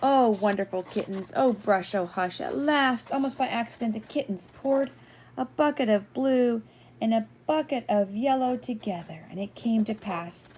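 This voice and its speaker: An older woman reading a children's picture book expressively, gently and playfully, drawing out key words and slipping in asides and questions to young listeners. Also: a little high, normal speed, slight background noise. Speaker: read-aloud storyteller.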